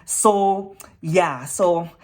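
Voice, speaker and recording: A woman's voice: on the low side, very slow, a little mellow. A woman from the Philippines giving a makeup tutorial, upbeat and hyper, tossing in peppy "you know what?" and "yes!" asides between encouraging thoughts. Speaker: makeup vlogger. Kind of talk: makeup tutorial with motivational talk.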